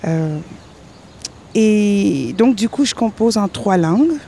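A woman from northern Ontario whose first language is Spanish, introducing her songs in a soft, conversational way at home. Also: medium pitch, animated, takes it slowly, vocal fry, slight background noise. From northern Ontario, Canada. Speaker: singer-songwriter introducing songs. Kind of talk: casual stage talk between songs.

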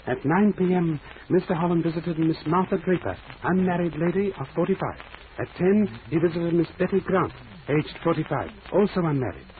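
An actor playing a wise, polite middle-aged detective, speaking in clipped phrases with dropped articles and formal proverbs, in 1940s radio acting style. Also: male voice, on the high side, animated, moderate pace, lightly acted. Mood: calm, formal. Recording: tinny hissy old radio recording.

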